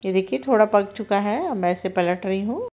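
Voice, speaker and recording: Calm woman narrating a cooking demo. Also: mid-range voice, fairly quick, narrow, tinny audio. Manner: calm, instructive, narrates each action as she does it. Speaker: cooking channel host. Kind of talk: step-by-step cooking voice-over.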